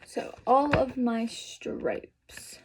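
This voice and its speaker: A woman with a fairly high voice reads a children's picture book aloud. She addresses her young listeners directly, as a storyteller would with a small group. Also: sing-song, drawn-out words, noisy background. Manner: talks to the young listeners with questions and asides.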